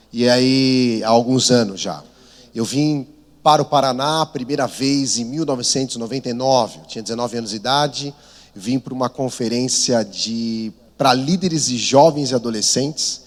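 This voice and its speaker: A man in his thirties from São Paulo with a Paulista accent, a missionary preaching in a chatty, self-deprecating way. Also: mid-range voice. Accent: Paulista Brazilian Portuguese. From São Paulo, Brazil. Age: 30s. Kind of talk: conversational sermon storytelling. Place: large church hall. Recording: long reverb of a church hall.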